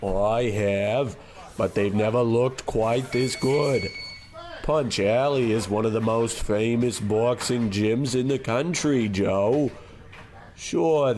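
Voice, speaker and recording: A man's voice: mid-range voice, close to an everyday voice. A man playing a bombastic, admiring boxing mentor in a radio comedy, savoring his words in a grandiose way. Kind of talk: scripted radio comedy acting.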